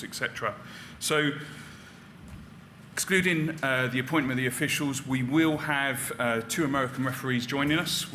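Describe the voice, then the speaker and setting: A man's voice: medium pitch, background noise. A man, an official, explaining the safety and referee plans at a press conference in a careful way. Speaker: sanctioning body official.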